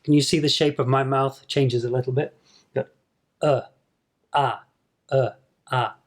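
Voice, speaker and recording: Male pronunciation teacher, unhurried and patient, middle pitch, demonstrates sounds carefully to camera. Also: steady tone. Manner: patient, demonstrates sounds slowly and repeats them. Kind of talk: slow explanatory video lesson to camera.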